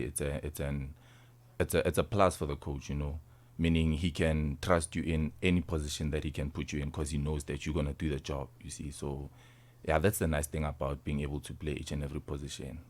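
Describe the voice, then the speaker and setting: Very deep-voiced South African teenage man. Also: a little mellow. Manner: informal, repeats and rephrases, tags phrases with "you see". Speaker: interview guest, rugby player. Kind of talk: casual interview answers.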